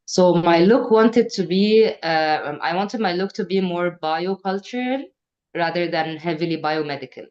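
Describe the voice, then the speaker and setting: A young woman with a notably low voice, talking earnestly on an online panel. She stops for frequent filler pauses as she explains herself. The audio comes through a video call that sounds slightly dull and muffled. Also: darkish tone. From Egypt. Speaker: panelist, researcher.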